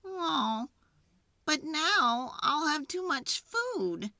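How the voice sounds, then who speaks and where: A woman voicing a gentle, worried, disappointed porcupine in a children's story. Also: high-pitched, sing-song, takes it slowly, dry recording, recorded from a distance, pitched above the performer's own voice, full character voice. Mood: sad. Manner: sad, hesitant.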